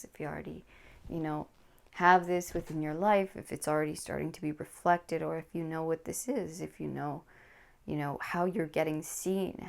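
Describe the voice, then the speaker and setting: A woman with a low voice giving a tarot card reading, speaking slowly and soothingly and often dropping into a whisper, close to the mic. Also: gravelly. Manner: slow, soothing, drops into whispers. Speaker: tarot reader.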